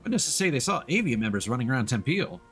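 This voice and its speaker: A man's voice: medium pitch, very animated, fast talker, a bit bright. A man voicing chatty, dramatic townsfolk from a fantasy video game, reading their dialogue aloud with exaggerated delivery. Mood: indignant. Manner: theatrical, exaggerated. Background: video game music.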